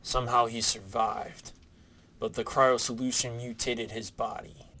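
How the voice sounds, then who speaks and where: A man's voice: mid-range voice, level tone, a little slow, pushed and bright, a little hiss or hum. A man playing a stern, brooding adult superhero guardian in an audio drama, speaking tersely and seriously. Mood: grave.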